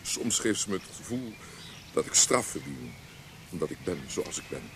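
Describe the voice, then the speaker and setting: An actor playing a roguish, self-doubting poet in a radio play, playful and smitten, with a hesitant way of speaking. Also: male voice, low voice, sing-song, normal speed, creaky, exaggerated voice. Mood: playful, sheepish. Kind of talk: Dutch radio play acting. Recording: noisy old radio play recording.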